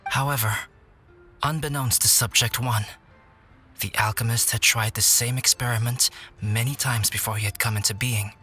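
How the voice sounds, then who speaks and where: Guy playing young scholarly alchemist in a game, calm n measured, clear forward bright voice, soft music behind. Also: medium pitch, lightly acted. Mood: solemn storytelling. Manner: measured, composed, articulate. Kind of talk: video game voice acting, storytelling.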